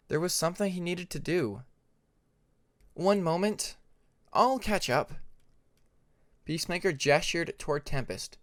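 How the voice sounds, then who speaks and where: A man's voice: fairly high pitch, fairly bright tone, no echo. Man narrating a story, close dry sound. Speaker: amateur fan fiction narrator. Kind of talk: scripted audiobook narration.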